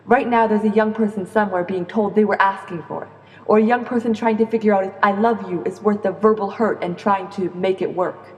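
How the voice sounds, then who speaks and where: A woman performing spoken-word slam poetry in a rhythmic, earnest, deliberate way. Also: mid-range voice, fairly even intonation. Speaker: slam poet. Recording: echoey reverberant room.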